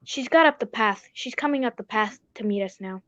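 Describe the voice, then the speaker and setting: A woman playing a loyal, easily indignant gentleman sidekick in a radio drama, exclaiming in an old-fashioned, blustering way. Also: on the high side, brisk, close to an everyday voice. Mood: concerned, curious. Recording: dull, roomy recording.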